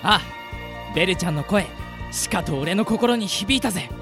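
A woman's voice: fairly low pitch, expressive, moderate pace, loud music behind the voice. A woman playing a flippant, girl-crazy, easygoing young man in an anime-style radio drama. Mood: eager, gallant.

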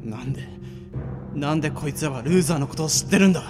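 A man's voice: on the high side, fast talker, close to an everyday voice. A man acting a stubborn, secretive captive under interrogation in an amateur radio drama, with tense dramatic delivery. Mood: brooding, weary. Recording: long hall reverb, faint music.

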